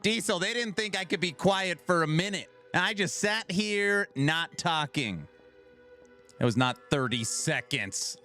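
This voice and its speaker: A man's voice: high-pitched, expressive, a little slow, sharp tone, faint music behind. A man streaming live, joking and bantering with his chat in a mock-defensive way. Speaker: streamer reacting to a video.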